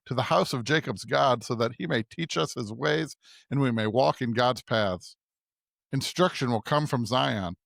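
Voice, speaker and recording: A man's voice: medium pitch, fairly bright tone, no room sound, clean studio sound. A man co-hosting a podcast, speaking about scripture as a reader and conversational commentator. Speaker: podcast co-host.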